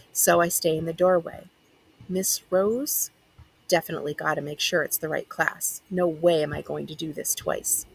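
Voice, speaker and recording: A woman librarian reading a first-person children's novel aloud as expressive narration. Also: on the low side, vocal fry, a little hiss or hum, low-quality recording.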